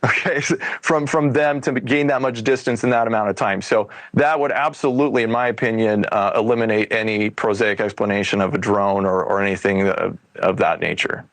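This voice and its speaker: A man's voice: lowish voice, a little fast, hardly any pauses, fairly bright tone, dry recording. An American man speaking as an expert guest, explaining a case in a conversational interview. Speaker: expert guest, UFO investigator and former federal agent.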